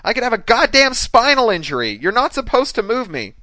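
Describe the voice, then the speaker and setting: A man's voice: medium pitch, big pitch swings, normal speed, fairly bright tone, dry recording. A man voicing an angry, litigious young American woman in an audiobook story, brash, scheming and demanding. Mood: furious, shrill. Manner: strident, demanding.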